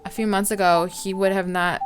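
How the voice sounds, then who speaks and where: A woman's voice: medium pitch, level tone, brisk. A woman hosting a let's play, reading a visual novel aloud informally, with some stumbles and game music behind her.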